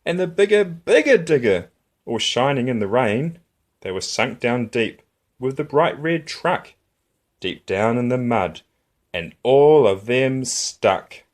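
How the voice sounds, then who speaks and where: Expressive man reading a children's story, lively intonation. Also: mid-range voice, slow pace. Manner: expressive, rhythmic reading of rhyming verse. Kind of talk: children's picture book read-aloud.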